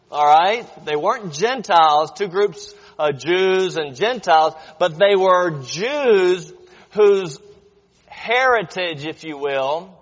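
A man's voice: sits high, fairly bright tone. A man preaching a Bible lesson, explaining patiently and checking in with his listeners through rhetorical questions. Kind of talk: sermon. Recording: dull audio with long hall reverb.

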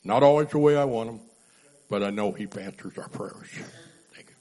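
A man with a lower voice gives a testimony at a church service. He speaks in an earnest, heartfelt way and praises often. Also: normal speed, mellow.

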